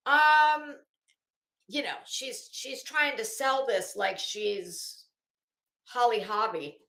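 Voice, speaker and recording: A woman's voice: a little high, slow, gravelly, sharp tone, reverberant. A woman hosting a live stream, reading aloud dramatically with mocking, drily sardonic commentary.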